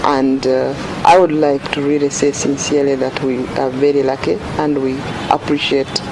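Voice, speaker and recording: A man's voice: mid-range voice, flat delivery, moderate pace. A man speaking earnestly and emphatically for an organization in a documentary interview. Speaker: organization representative. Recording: clear background noise.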